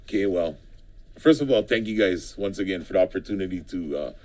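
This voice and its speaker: A man's voice: sits low, fairly quick, a little mellow. A middle-aged man from Hawaii, a political candidate, talking casually in a studio interview and rambling with frequent ums and restarts.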